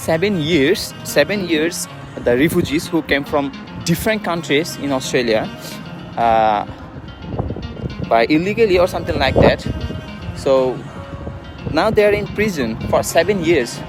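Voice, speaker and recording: A man with a Bangladeshi accent vlogging live from a street, speaking in a halting, earnest, repetitive way. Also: fairly high pitch, lively intonation, music in the background. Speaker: vlogger and refugee activist. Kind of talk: live street vlog, informal. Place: outdoors at a busy roadside protest.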